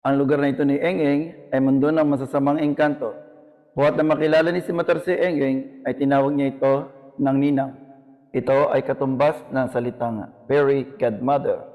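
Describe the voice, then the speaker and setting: A man's voice: medium pitch, fairly even intonation, moderate pace, in a large hall, phone-quality sound. A man with a Filipino accent giving scripted educational narration as the host.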